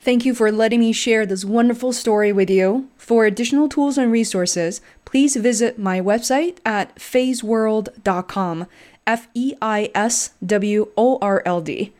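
A woman hosting her own podcast, with a fairly high voice. She reads her introduction from a script and then turns to easy, encouraging conversation with her guest. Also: measured pace, a little mellow. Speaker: podcast host.

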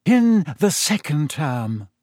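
A man voicing a dreamy, eerie woman seer, speaking slowly and softly in a misty voice. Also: fairly high pitch, big pitch swings, no echo, clean studio sound. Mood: mystical. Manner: slow, soft, drawn-out delivery.

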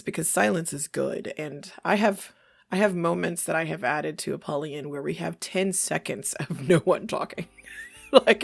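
A woman's voice: fairly low pitch, vocal fry, breathy edge. An American woman talking candidly and informally as a podcast interview guest. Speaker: podcast guest, audio drama creator. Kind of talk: casual interview chat.

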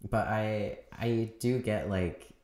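A young man co-hosting a casual TV recap chat, talking in a monotone, rambling with lots of fillers and frequent laughs. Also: on the low side, takes it slowly. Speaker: podcast co-host. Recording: echoey room.

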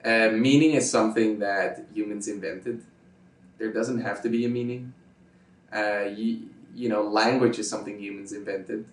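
Dutch man, low voice, roomy distant sound. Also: fairly even intonation, normal speed. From Netherlands. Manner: emphatic, repeats points, checks agreement with "right?". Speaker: YouTuber guest. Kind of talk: casual interview answers.

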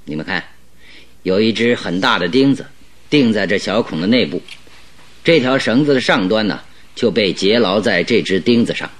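一位男演员在广播剧中饰演自信、善于追问的侦探，说话从容推敲，带有戏剧腔。. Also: male voice, fairly low pitch, animated. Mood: probing. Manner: inquisitive, deliberate, theatrical. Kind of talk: Chinese radio drama acting.

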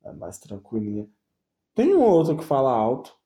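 A young man chatting casually as a co-host, slangy and animated, swearing freely. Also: mid-range voice, normal speed. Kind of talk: casual chat. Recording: echoey room.

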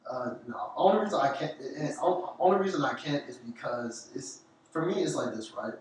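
Animated young man, Southern-Jamaican mix. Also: mid-range voice, fairly quick. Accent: Southern US mixed with Jamaican. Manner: animated, laughing, lots of slang and fillers. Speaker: podcast co-host. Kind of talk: casual chat. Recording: echoey room, distant mic, faint hiss.

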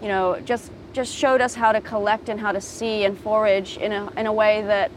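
A woman's voice: mid-range voice, little pitch movement, moderate pace, a little hiss or hum. A woman raised in Japan answers interview questions outdoors at a beach, speaking reflectively and thoughtfully with frequent ums. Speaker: guest, designer and model.

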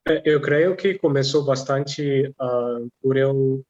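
Male guest, non-native speaker, earnest and halting, slightly muffled audio. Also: medium pitch, fairly even intonation, darkish tone. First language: not Portuguese. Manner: earnest, halting, repeats words. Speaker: guest, language teacher and singer. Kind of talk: interview answers.

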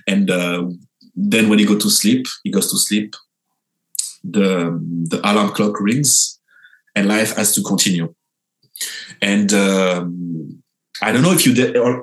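A young Frenchman speaking English with a clear French accent, telling his own story in an interview. His voice sits on the lower side. He talks in an animated way, jumping up in pitch and stumbling into restarts as he goes. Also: low-quality recording. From France. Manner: animated, lively pitch jumps, halting restarts.

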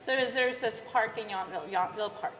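A woman's voice: a little high, fast talker, a bit bright. A woman in her thirties from the Philippines, hosting a casual chat over coffee as a chatty storyteller who laughs often. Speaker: podcast host. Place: coffee shop. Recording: reverberant room with background noise.

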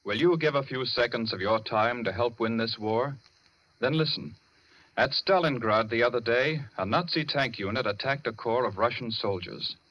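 An earnest male announcer makes a persuasive appeal in 1940s wartime radio style. His voice is medium in pitch, on a dull vintage recording. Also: moderate pace, no echo.

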